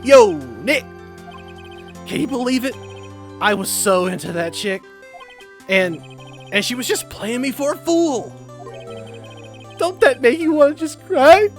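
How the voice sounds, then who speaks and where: A woman plays a young man in a video game, the whiny and melodramatic best friend with a broken heart. The pitch swings widely and the delivery is drawn out, over soft music. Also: animated, measured pace, long pauses. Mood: sulky, self-pitying.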